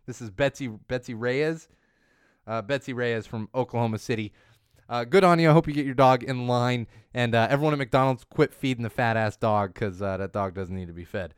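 A man hosting a casual news podcast in a studio, rambling and correcting himself as he goes, his voice a bit hoarse from losing it. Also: medium pitch, a little fast, no room sound. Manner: chatty, rambling, self-correcting. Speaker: podcast host.

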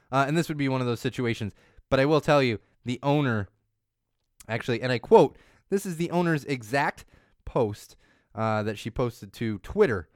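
A man hosting a casual news podcast in a studio, rambling and correcting himself as he goes, his voice a bit hoarse from losing it. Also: medium pitch, lively intonation, moderate pace, long pauses, no echo. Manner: chatty, rambling, self-correcting. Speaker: podcast host.

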